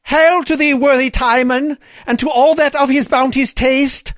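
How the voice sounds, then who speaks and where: A man's voice: extremely high pitch, fairly even intonation, unhurried, a bit bright, no room sound, clean studio sound, pitched above the performer's own voice. A man voicing a flowery, fawning Cupid in a Shakespeare audio play reading. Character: flowery, high-voiced Cupid hailing his host.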